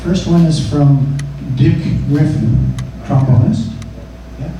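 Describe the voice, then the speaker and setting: A man reading anecdotes aloud as spoken-word storytelling, unhurried, pausing between short phrases. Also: fairly high pitch, fairly even intonation, vocal fry, warm tone, slight background noise. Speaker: reader of musicians' anecdotes. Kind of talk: spoken-word storytelling over live jazz. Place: indoor jazz club performance. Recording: echoey live club, distant mic, music. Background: live music with guitar or plucked strings.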